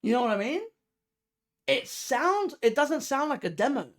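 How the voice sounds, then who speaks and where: Animated, emphatic reaction host with lively pitch. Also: moderate pace. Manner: emphatic, repetitive, full of rhetorical questions; informal, slangy. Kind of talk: casual music commentary.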